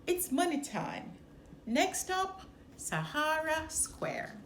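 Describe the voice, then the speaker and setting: A woman's voice: high-pitched, very animated, takes it slowly, a bit bright, pitched above the performer's own voice, full character voice. A woman voicing a sly, confident male fox hustler from a storybook, with a glib salesman's manner. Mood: upbeat, persuasive. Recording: roomy echo, some background noise.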